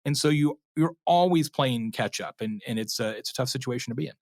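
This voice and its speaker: Male, mid-pitched voice, expert interviewee, conversational explaining, storytelling manner, close dry recording. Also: fairly quick, dry recording. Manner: animated storyteller, acts out imagined customer quotes, checks in with "right?".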